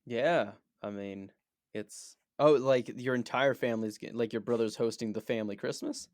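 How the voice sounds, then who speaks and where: A man's voice: medium pitch, normal speed, darkish tone, no echo, clean studio sound. Playful, rambling man on a podcast. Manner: playful, rambling, stammers and restarts. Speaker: podcast co-host. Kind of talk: casual chat.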